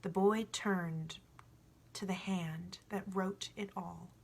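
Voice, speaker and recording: A woman reading a story aloud in an expressive, informal way, like a home storyteller. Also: on the low side, slow, creaky, slightly rough. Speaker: storybook reader. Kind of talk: book read aloud with informal asides.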